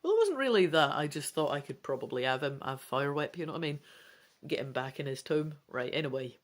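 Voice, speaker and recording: A woman's voice: low-pitched, sing-song, fairly quick. A woman hosting a gamebook playthrough, reading dramatically and adding informal, self-deprecating asides. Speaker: host of a gamebook let's play.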